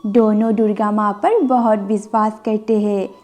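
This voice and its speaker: A woman's voice: mid-range voice, fairly even intonation, a little slow, a little mellow. A woman narrating a scripted moral bedtime story as a storyteller. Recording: music bed under the voice. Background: background music.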